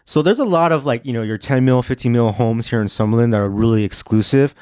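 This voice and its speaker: A man hosting a podcast, talking in a relaxed, chatty way that is full of "like" and "you know". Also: medium pitch, fast talker, clean tone, no echo, clean studio sound. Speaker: podcast host. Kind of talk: casual chat. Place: podcast studio.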